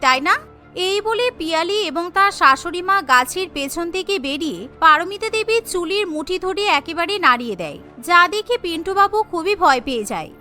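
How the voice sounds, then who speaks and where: A woman narrates a cartoon tale from a script. Her voice is extremely high and bright, with music playing behind it.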